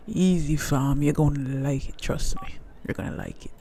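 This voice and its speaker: A man's voice: on the high side, steady tone, moderate pace, warm tone, a little hiss or hum, lightly acted. A man voicing an easygoing young guy who reassures and coaxes. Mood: reassuring. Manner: laid-back and coaxing.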